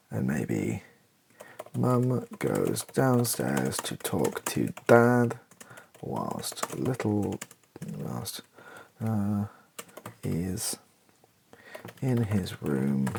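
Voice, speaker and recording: A British man doing comedy ASMR, muttering haltingly aloud as he types out a script. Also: very deep voice, vocal fry. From Britain. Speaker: host of a comedy ASMR channel. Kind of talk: ASMR, improvised comedy while typing a script. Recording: faint noise with keyboard clicks and typing. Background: computer keyboard typing.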